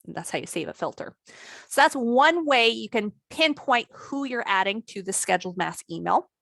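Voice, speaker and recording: A woman trainer talking through a software tutorial in a casual, conversational way, her voice a little worn today. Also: a little high, lively intonation, normal speed, vocal fry, no echo. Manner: conversational, lots of "maybe" and "you know". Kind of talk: screen-share software tutorial.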